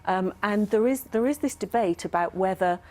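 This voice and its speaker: A woman's voice: medium pitch, moderate pace, a little mellow. A woman speaking as an expert in a studio interview, explaining things thoughtfully with some hesitation. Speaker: academic expert guest, professor.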